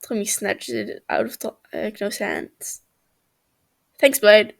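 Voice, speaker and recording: A woman reading a story aloud in a slightly halting way, as an amateur narrator. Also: mid-range voice, huge pitch swings, creaky. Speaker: amateur fan fiction reader.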